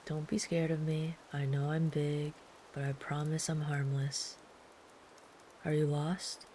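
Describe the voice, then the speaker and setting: A woman voicing a big, gentle, protective traveler camping in the woods, speaking softly and soothingly, sometimes in a whisper, as ASMR comfort roleplay. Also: deep voice, slow, a little hiss or hum. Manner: soft, soothing, calm, at times whispered.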